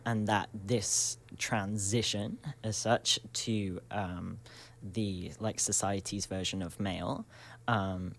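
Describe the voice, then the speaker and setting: A man in his twenties, a radio guest, speaking reflectively and searchingly with many pauses and soft laughs. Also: fairly low pitch, a little hiss or hum. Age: 20s. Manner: searching and thoughtful, many ums, laughs often. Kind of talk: reflective radio interview talk.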